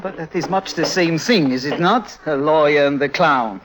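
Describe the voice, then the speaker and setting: An actor playing a troubled, defensive German circus clown, speaking formal, stilted English with a German accent in a 1950s radio drama. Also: male voice, a little high, normal speed, background noise, lightly acted. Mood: guarded, bitter.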